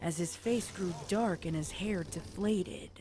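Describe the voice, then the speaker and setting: A woman's voice: lowish voice, a little slow, mellow. A woman reading scripted story narration as the narrator of an audio tale.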